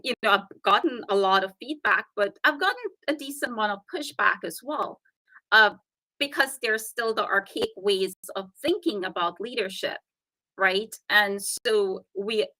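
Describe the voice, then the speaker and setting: A woman with a softened Trinidadian accent, a guest talking earnestly and explaining her ideas in a casual interview chat. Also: mid-range voice, a little slow, no echo, low-quality recording.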